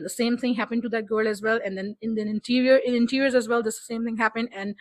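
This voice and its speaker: A woman from Pakistan whose first language is Urdu, chatting to camera at home in an exasperated, animated vlog style and laughing often. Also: a little high, poor audio. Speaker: vlogger. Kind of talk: casual vlog chat to camera. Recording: music playing faintly behind.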